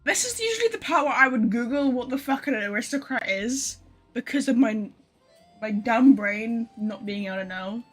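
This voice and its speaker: A woman's voice: on the high side, lively intonation, normal speed, breathy edge, soft background music. A woman chatting casually as a live game streamer, informal and sweary, joking at her own expense. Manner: sweary, self-deprecating, informal. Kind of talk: casual live gameplay chat, reading some lines aloud. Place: bedroom.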